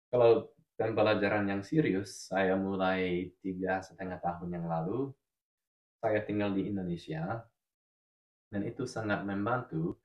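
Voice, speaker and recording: Deep-voiced man with a cold. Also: roomy sound, muffled recording. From Australia. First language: English. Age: 20s. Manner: careful, deliberate speech as a learner. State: has a cold. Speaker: podcast co-host. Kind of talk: casual podcast chat.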